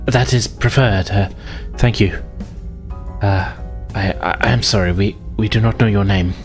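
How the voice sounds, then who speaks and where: A man voicing a timid alien pilot in a tabletop game, speaking haltingly with stiff, apologetic formality. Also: fairly low pitch, faint music behind, natural voice. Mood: awkward politeness.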